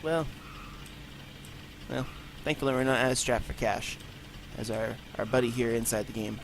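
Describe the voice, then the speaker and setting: A man of middle pitch talks through his game in a loose, rambling way, breaking off into self-mocking asides. His voice is a little congested, and he records in a bare room with no acoustic paneling. A faint hiss sits under the recording. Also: steady tone, normal speed, vocal fry. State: congested from allergies. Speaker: gaming channel host. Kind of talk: let's play commentary.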